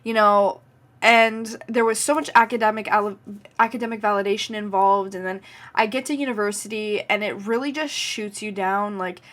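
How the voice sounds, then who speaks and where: Casual vlogger, woman of nineteen, Canadian accent; chatty and unscripted, riddled with "like" and "um", mid-range pitch. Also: steady tone, moderate pace, fairly bright tone. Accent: Canadian English. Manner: chatty, frequent "like" and "um" fillers. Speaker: vlogger and podcast host. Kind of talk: casual rambling monologue.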